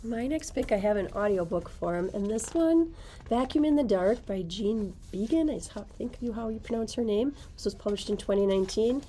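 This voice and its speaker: A woman's voice: mid-range voice, animated, normal speed, warm tone, a little hiss or hum. A middle-aged woman, a librarian, recommending books and reading their blurbs aloud to a camera in a library. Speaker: librarian presenting book picks.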